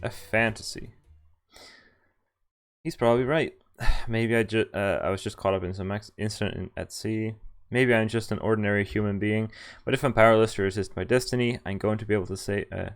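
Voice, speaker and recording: Low-voiced man streaming a game. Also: steady tone, fairly quick. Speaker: streamer playing a visual novel. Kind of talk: casual game stream, reading dialogue aloud with commentary. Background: game music.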